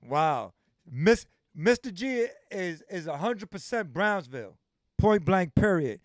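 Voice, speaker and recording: A man's voice: high voice, very animated, slow pace, dry recording, clean studio sound. A man hosting a livestream interview, talking emphatically and repeating phrases for stress. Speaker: livestream host.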